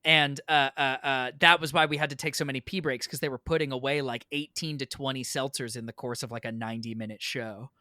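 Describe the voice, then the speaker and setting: Bright-voiced man, wry and conversational. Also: medium pitch, steady tone, brisk, few pauses, no room sound. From northern United States. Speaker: podcast guest. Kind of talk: casual explanatory chat.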